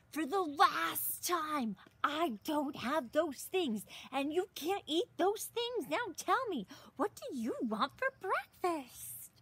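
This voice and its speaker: A woman in her thirties reading a picture book aloud to young children outdoors, expressively, with exaggerated gasps and drawn-out words. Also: sits high, vocal fry, fairly bright tone. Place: outdoors in a leafy garden. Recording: outdoor recording with some ambient noise.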